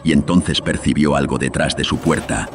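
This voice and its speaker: A man's voice: a bass voice, steady tone, normal speed. A man giving solemn, literary narration of a horror tale, his voice processed with studio filters. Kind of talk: scripted horror story narration.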